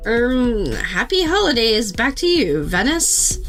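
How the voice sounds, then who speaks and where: A woman's voice: a little high, very animated, slow, a bit bright, soft background music, exaggerated voice. A woman playing a sensible, exasperated co-anchor in a comedy newscast, with a pointed, dry delivery. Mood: composed.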